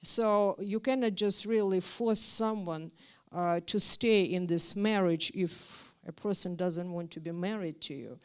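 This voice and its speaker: A woman's voice: lowish voice, fairly even intonation, measured pace, fairly bright tone, clean tone. A woman from Ukraine, speaking as a guest at a university talk in an earnest, emphatic way. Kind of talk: university lecture.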